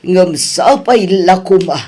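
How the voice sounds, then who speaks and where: A woman's voice: fairly low pitch, lively intonation, slow pace, warm tone. A woman with an Ilocano accent playing a stern, hurt middle-aged mother in an audio drama.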